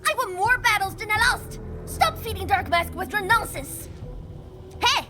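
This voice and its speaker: Squeaky cocky catgirl game character, hot-headed, swooping all over, loud music behind. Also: normal speed. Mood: cocky, indignant. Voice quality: childlike, high. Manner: cocky, excitable, exclaiming. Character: brash, boastful small feline girl fighter, hot-headed and playful. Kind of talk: anime game voice acting.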